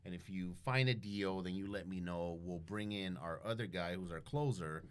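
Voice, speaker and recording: A man with a fairly low voice talks as a podcast guest. He tells stories casually and bluntly, swears often, and slips into quoted dialogue as he goes. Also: normal speed, clear, resonant tone. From Guatemalan background. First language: bilingual English and Spanish. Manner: profane, blunt, animated retelling with quoted dialogue. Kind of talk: casual storytelling interview.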